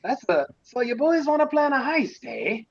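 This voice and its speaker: A man's voice: very high voice, sing-song, moderate pace, gravelly, muffled recording, slight background noise, pitched above the performer's own voice, heavily acted. Man voicing a folksy, shrewd trader. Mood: musing, sly. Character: folksy, shrewd male trader haggling with adventurers.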